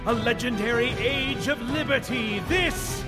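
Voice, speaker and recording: High, bombastic herald over loud music. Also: male voice, unhurried. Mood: triumphant, grandiose. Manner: bombastic, archaic proclamation. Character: grandiose male herald proclaiming a legendary free season. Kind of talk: mock-epic announcer intro.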